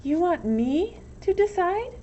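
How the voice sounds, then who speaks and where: A woman's voice: very high voice, sing-song, takes it slowly, warm tone, voice pushed up, exaggerated voice. A woman voicing a sweet, dithering, empty-headed young daughter in a storybook. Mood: puzzled. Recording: dull, lo-fi with some background noise.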